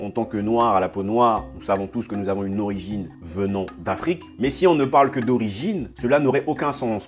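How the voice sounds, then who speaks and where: Male host, mid-pitched, steady and persuasive commentary with soft music underneath. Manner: measured, explanatory, argumentative. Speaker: YouTube channel host. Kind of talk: scripted commentary to camera.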